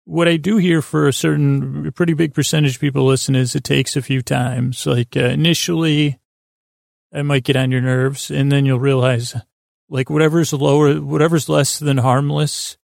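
Male podcast host, heavy vocal fry, dry recording, halting and meandering bedtime monologue that constantly interrupts itself. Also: mid-range voice, fairly even intonation, a little mellow, no echo. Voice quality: creaky, gravelly. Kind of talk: rambling sleep podcast monologue.

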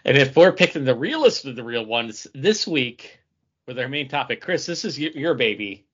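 A man's voice: medium pitch, animated, moderate pace, breathy edge, dull audio. A man co-hosting a podcast, musing aloud in casual, conversational group discussion. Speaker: podcast co-host.

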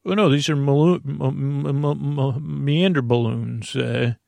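Rambling sleep podcast guy, gravelly, stumbles and trails off a lot, super dry mic. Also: medium pitch, fairly even intonation, a little mellow, no echo. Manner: meandering, self-mocking, halting. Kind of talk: rambling improvised intro.

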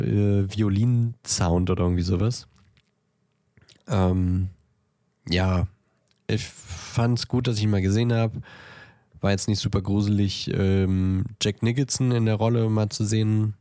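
Low-voiced man, casual podcast talk. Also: a little mellow, no room sound, clean studio sound. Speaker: podcast co-host. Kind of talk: casual chat about films.

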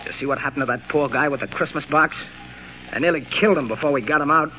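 An actor playing an excitable, plain-talking police officer in 1940s radio drama style. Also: male voice, fairly high pitch, quick pace, close to an everyday voice. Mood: agitated, amazed. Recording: dull, noisy old radio recording.

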